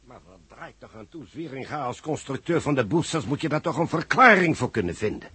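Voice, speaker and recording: An actor playing an insistent, exacting chief engineer in 1960s radio drama, with a Netherlands Dutch accent. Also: male voice, medium pitch, very animated, brisk, sharp tone. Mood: insistent, troubled. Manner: emphatic, insistent.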